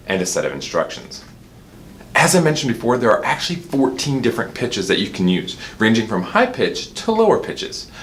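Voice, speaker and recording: A man with a fairly low voice presents a product review, moving his pitch around in a lively way as he explains things informally. He sounds like a specialist walking viewers through a demonstration. There is faint background noise.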